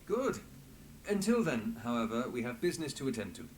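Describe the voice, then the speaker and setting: A man plays a confident fantasy-game inspector with a commanding, wry manner. His mid-pitched voice swings expressively, over a faint layer of noise. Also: big pitch swings, fairly quick, close to an everyday voice, poor audio. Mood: brisk, commanding. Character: confident, dry-witted male investigator in a fantasy city. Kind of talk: dramatic video game dialogue.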